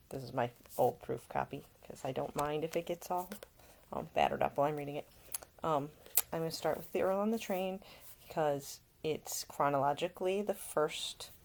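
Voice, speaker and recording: Low-pitched woman reading to listeners. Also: gravelly, a little mellow. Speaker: author reading own book. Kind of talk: informal introduction, then expressive audiobook narration.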